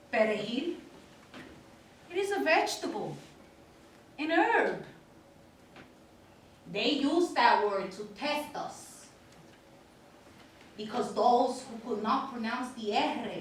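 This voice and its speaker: Stage monologue, actress as lonely elderly widow, very slow and hesitant delivery, distant mic with faint noise. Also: female voice, on the high side, very echoey. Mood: grave, remembering. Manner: slow, deliberate, hesitant storytelling with dramatic pauses. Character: elderly Dominican widow, lonely, courteous, haunted immigrant storyteller.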